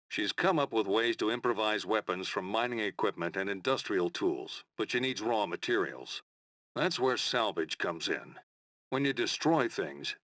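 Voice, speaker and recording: An actor playing a commanding rebel leader in a video game, giving a measured, blunt scripted briefing with authority. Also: male voice, on the low side, a bit bright, dry recording. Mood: instructive.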